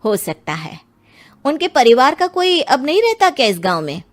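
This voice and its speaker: A woman's voice: high-pitched, sing-song, moderate pace, voice pushed up. A woman playing an earnest, persistent male police inspector in a story, with a courteous, questioning manner. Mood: probing. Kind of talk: dramatized audio storytelling. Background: music.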